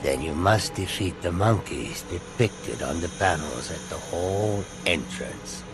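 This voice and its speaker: An actor playing a cryptic, solemn old temple spirit in a video game, speaking theatrically. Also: male voice, deep voice, lively intonation, measured pace, music in the background. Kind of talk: dramatic video game acting.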